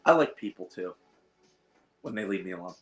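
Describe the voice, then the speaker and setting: A hoarse man hosting a casual livestream, rambling with lots of fillers and reading out the chat. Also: sits low, lively intonation, normal speed, fairly bright tone, faint music behind. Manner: rambling, reads chat aloud, frequent fillers. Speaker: host of a veterans livestream. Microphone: iPad.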